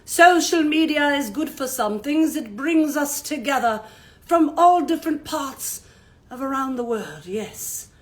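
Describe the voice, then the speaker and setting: A woman voicing a groggy male owl puppet with a croaky voice and drowsy, old-fashioned politeness. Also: high-pitched, lively intonation, a little slow.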